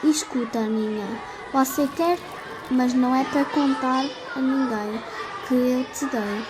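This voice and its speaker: A woman's voice: high-pitched, measured pace. A woman acting a secretive, impatient little girl in an audio drama. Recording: clear background noise and ambience.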